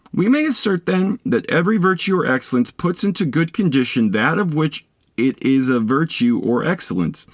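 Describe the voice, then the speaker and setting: Male narrator for philosophy audiobook; deliberate, instructive phrasing with animated pitch movement, very dry sound. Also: no echo. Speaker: audiobook reader. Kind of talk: scripted reading of a philosophy text.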